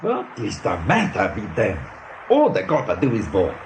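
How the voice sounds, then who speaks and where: An actor playing a brash, excitable bird in a radio play, blunt and scornful in broken English. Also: male voice, lowish voice, moderate pace, slight background noise. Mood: indignant, scornful. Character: brash, excitable male bird who scolds silly rabbits.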